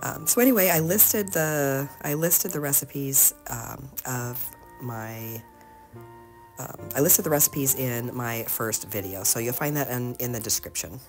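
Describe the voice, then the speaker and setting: A woman with a slightly hoarse voice, giving a casual, halting painting tutorial as the host. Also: very deep voice, over music. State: slightly hoarse from being sick. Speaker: host of an art tutorial channel.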